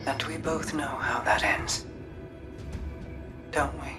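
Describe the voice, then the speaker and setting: A woman playing a wise, probing confidante in a video game, speaking with calm, grave deliberation. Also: rumbling low. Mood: grave, gentle. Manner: counselling, deliberate, knowing. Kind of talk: acted video game cutscene dialogue. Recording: distant voice under loud music. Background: loud music.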